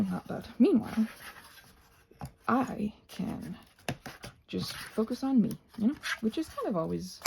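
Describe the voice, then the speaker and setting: An American woman rambling casually while she does crafts, halting and trailing off into soft-spoken asides. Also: lowish voice, vocal fry, background noise. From United States. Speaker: craft and ASMR channel host.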